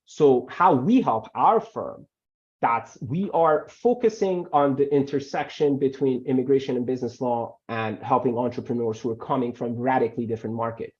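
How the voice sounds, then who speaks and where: A man whose first language is Farsi, speaking as an immigration lawyer at a middle pitch over a video call. His audio sounds dull and lo-fi. He talks in an animated way and repeats words when he pushes a point. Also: moderate pace. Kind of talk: webinar Q&A answers.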